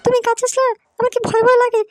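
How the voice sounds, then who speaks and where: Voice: extremely high pitch, completely flat delivery, very fast. A performer voicing a coy, pouting village woman in a comic cartoon, protesting flirtatiously in a whiny way over music. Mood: coy, nervous. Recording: music behind the voice.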